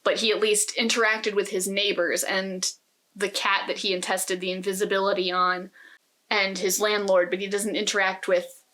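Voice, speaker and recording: A woman's voice: medium pitch, little pitch movement, normal speed, sharp tone. A woman co-hosting a podcast, casually talking through a book in a thoughtful, opinionated way. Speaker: podcast co-host.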